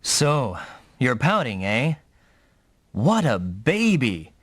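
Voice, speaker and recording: Animated, teasing young mech pilot, dry studio. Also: male voice, medium pitch, extremely slow, no echo, exaggerated voice. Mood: teasing, exasperated. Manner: casual, teasing. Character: young mech pilot, teasing, easygoing big-brother type. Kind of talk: anime-style video game dub acting.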